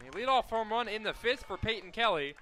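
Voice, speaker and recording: A young man calling softball play-by-play for college radio, correcting himself often and surging loud on big plays. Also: high voice, lively intonation, brisk, a little hiss or hum. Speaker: play-by-play announcer.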